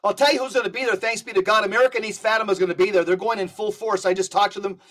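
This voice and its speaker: A man's voice: high-pitched, steady tone, rapid-fire, a bit bright. A man speaking as a podcast guest, giving impassioned religious talk in an emphatic, exhortatory way and reading out quotations.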